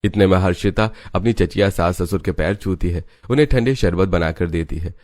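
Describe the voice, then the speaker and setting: A man's voice: low voice, fairly quick, a little mellow, no room sound, clean studio sound. A man narrating a scripted family story in Hindi cartoon style.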